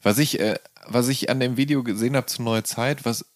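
A man's voice: medium pitch, fairly even intonation, fairly quick, no echo, studio quality. A man asking questions and offering thoughts as the interviewer in a casual conversation.

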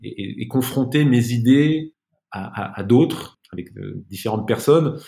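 A man's voice: medium pitch, expressive, a little slow, creaky, warm tone. A man speaking as a podcast guest in a casual, reflective interview, searching for his words and often repeating them.

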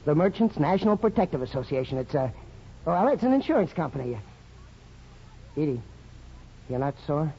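Grown son: husky, higher-pitched, earnest, hesitant, defensive, lively delivery, old radio drama. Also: male voice, normal speed, pauses often, full character voice. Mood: sheepish. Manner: hesitant starts, boyishly earnest. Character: eager, defensive grown son, young war veteran. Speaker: radio actor. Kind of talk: 1950s radio drama acting. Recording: muffled 1950s radio broadcast.

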